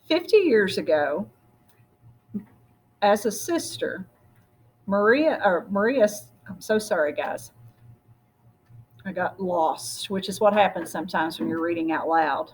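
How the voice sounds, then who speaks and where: A woman with a slightly husky voice reads a picture book aloud, speaking directly to her listeners as a storyteller. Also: mid-range voice, lively intonation, measured pace, pauses often, rough recording. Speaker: story reader.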